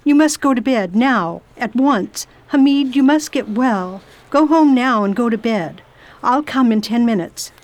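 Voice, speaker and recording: A woman's voice: on the high side, lively intonation, measured pace, a little mellow, rough recording. A woman playing a determined volunteer nurse in a radio play, formal, forthright and insistent. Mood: alarmed, urgent.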